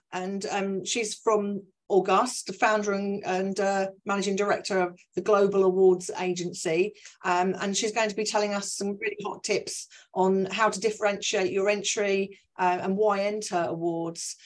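A woman hosting a webinar, introducing the speaker hesitantly with many pauses and restarts. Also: mid-range voice.

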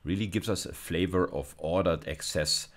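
A middle-aged man giving an explanatory video lecture as a university lecturer, measured and emphatic, often checking in with short tag questions. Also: low-pitched. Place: indoors, recorded lecture over slides.

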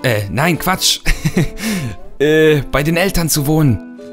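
A young man with a slightly rough, cold-worn voice, chatting playfully and rambling as he narrates his gameplay. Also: fairly high pitch, lively intonation, slow pace, soft background music. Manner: playful, rambling, repeats words for fun. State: hoarse from a cold. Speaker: let's play host. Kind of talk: casual gameplay commentary.

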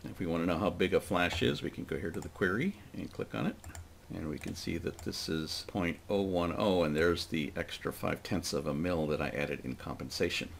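A man with a deep voice and a noticeable creak narrates a software tutorial. He explains each step plainly and informally, as if thinking aloud while he works. There is some background noise and keyboard typing in the recording. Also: a little fast. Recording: clearly noisy desk recording with typing.

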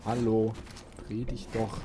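Slow-talking man commentating a video game. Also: lowish voice, level tone, noisy background. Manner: grumbling, mild swearing at the game. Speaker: let's play host.

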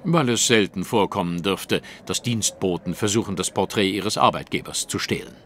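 A man's voice: deep voice, animated, moderate pace, a bit bright, slight background noise. An actor playing a shrewd, haughty male detective in an audio drama, speaking in a precise, formal, lecturing way. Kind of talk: German audio drama acting.

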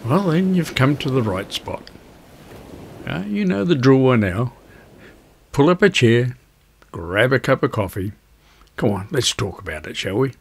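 A man in his seventies giving an instructional talk to camera in a measured, conversational, avuncular way. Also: medium pitch, big pitch swings, vocal fry, husky. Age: 70s. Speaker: video host, ham radio hobbyist. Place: indoors at a desk, virtual backdrop.

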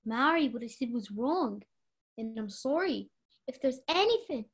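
High-strung society lady, high swooping voice, woman acting. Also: expressive, a little slow, husky, full character voice. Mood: pleading. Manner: emotional, pleading, imperious. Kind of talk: mystery radio play acting.

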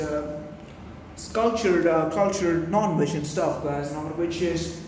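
Young male food vlogger, Indian accent, higher-pitched voice, verbose and grandiose, recorded in a very reverberant room. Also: darkish tone. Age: young adult. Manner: rambling, verbose, grandiloquent, repeatedly addressing viewers. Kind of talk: casual vlog monologue. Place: small bare indoor room. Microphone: phone camera, held or propped at a distance. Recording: very echoey room, distant phone, faint noise.

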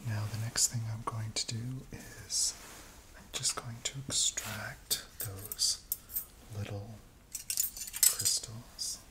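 A man's voice: on the low side, level tone. Whispering middle-aged man, ASMR. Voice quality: breathy whisper. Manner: slow, gentle, unhurried step-by-step murmuring. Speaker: ASMR roleplayer playing an apothecary chemist. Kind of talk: ASMR roleplay, soft-spoken personal attention. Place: small indoor set, seated at a worktable.

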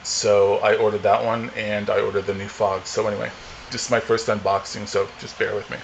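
Forties male vlogger, low monotone, loose rambling delivery, home camera recording with faint hiss. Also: a little fast, gravelly, rough recording. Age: 40s. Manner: rambling, many fillers and restarts. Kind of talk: casual unboxing chat. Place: home living room, indoors.

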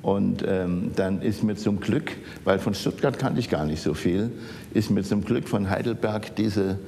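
An elderly man from the Saarland in Germany reminiscing in conversation, haltingly searching for words. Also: creaky, mellow. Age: older, 70s or 80s. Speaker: architect interviewed as an eyewitness. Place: large office archive room, indoors. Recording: echoey room with rumbling background noise.

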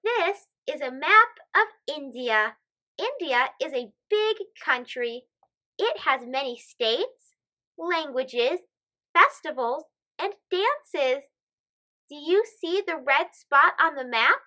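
A woman with a high, bright voice reads a storybook aloud. Her delivery is careful and expressive, with lively pitch movement meant for young listeners. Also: slow. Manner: careful, expressive, child-directed. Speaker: children's storybook reader.

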